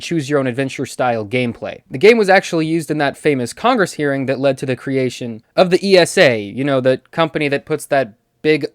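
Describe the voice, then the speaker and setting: A man presents casual video essay commentary in a close, dry recording with almost no room sound. His voice sits at an average pitch, and he talks conversationally, dropping in wry asides. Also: smooth, no room sound. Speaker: host.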